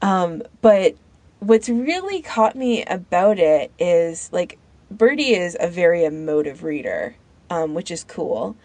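A woman with a slightly low voice talks informally, as one of a podcast panel. She thinks aloud and halts as she goes. The sound is very dry, with no room around it. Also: big pitch swings, slow pace, a little mellow, dry recording. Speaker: podcast panellist recommending fan audio fiction. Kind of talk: casual chat.

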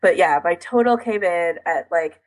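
A woman's voice: fairly low pitch, moderate pace, low-quality recording. A woman co-hosting a podcast in casual chat, recounting things in detail with a self-deprecating streak. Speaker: podcast co-host.

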